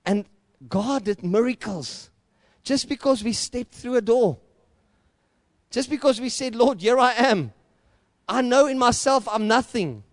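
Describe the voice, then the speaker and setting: A middle-aged South African man preaches with a high, ringing voice, stacking repeated phrases into loud, emphatic climaxes. His Afrikaans-rooted accent colors every line, and the sound is close and dry with no room around it. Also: animated, a little slow, pauses often, no room sound. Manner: passionate preaching, rising to loud emphatic peaks; repetitive rhetorical build-ups. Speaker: pastor. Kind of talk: sermon.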